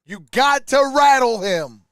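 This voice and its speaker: A very high-pitched male fan calls the game live on his stream at a slow, deliberate pace. He sounds close and dry, speaking as a partisan supporter and chatting with his viewers between plays. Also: lively intonation, fairly bright tone, dry recording. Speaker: sports livestream host, fan commentator. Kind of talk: live fan reaction and play-by-play commentary.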